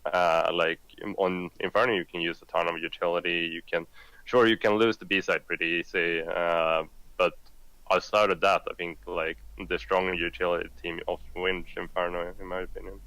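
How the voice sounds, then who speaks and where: A man explaining game tactics in a relaxed, filler-heavy way. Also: low voice, normal speed, no room sound, poor audio. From Sweden. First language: Swedish. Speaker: podcast guest analyst. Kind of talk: casual esports analysis.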